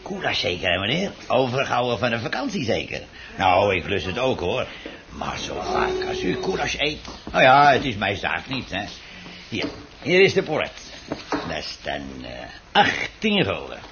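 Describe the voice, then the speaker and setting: Chatty, animated male butcher. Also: medium pitch, normal speed. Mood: chatty, prying. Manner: chatty, folksy, deferential yet prying. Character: chatty, nosy male butcher serving a regular. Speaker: radio drama actor. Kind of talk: Dutch radio play acting. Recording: dull noisy old radio recording.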